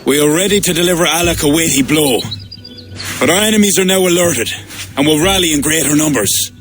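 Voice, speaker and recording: An actor playing an ambitious Irish High King in a video game, speaking in a formal, measured, commanding way. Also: over music, close to an everyday voice. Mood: determined. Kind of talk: video game cinematic acting.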